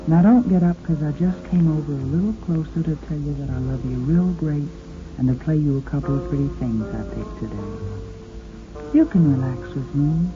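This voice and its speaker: Intimate woman on tinny 1950s radio. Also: low-pitched. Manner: intimate, sweet-talking, addressing the listener as a sweetheart. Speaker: radio show host. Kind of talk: 1950s radio companion monologue. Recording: vintage 1950s radio, tinny narrow band. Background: music.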